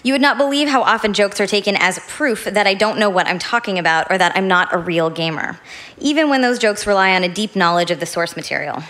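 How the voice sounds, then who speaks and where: A woman speaks in a measured, deliberate way, delivering a prepared talk. Her pitch sits in a middle range, and each phrase is steady and carefully placed. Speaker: speaker in a played video.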